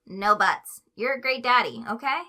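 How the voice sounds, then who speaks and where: A woman voicing a calm, deadpan teenage boy who talks in a flat, matter-of-fact way in a story reading. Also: on the high side, normal speed.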